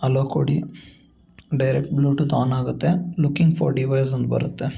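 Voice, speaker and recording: A man's voice: medium pitch, level tone, measured pace, creaky, warm tone, slightly rough. A man with a Kannada accent giving a casual step-by-step product walkthrough as a vlogger, explaining and mixing in English tech words. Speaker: vlogger demonstrating a gadget.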